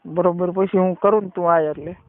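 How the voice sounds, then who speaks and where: A man's voice: high-pitched, fairly even intonation, a little fast. A tinny phone line carries a man's voice with a Gujarati accent. He talks casually and briefly, in everyday colloquial speech, nudging the conversation along with short questions. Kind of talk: casual private phone chat. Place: recorded phone call. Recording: muffled narrowband phone call recording.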